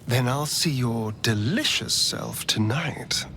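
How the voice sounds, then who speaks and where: A man's voice: mid-range voice, takes it slowly, creaky, slight background noise, heavily acted. An actor playing a suave, mischievous man in a video game, with a theatrical, silky, arch delivery. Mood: pleased, purring. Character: suave, mischievous man who relishes dark powers. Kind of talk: video game character acting. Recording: loud background music.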